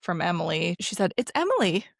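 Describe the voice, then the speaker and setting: Gravelly-voiced woman in her thirties, podcast co-host. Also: fairly low pitch, sing-song, a little fast, no echo, clean studio sound. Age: 30s. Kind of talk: casual chat.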